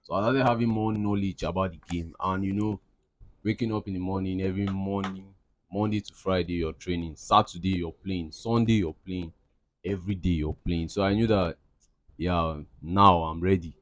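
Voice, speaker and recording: A man's voice: deep voice, steady tone, moderate pace. A young man from Cameroon, answering interview questions in a rambling, casual way with lots of fillers and restarts. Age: young adult. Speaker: interviewee, aspiring footballer.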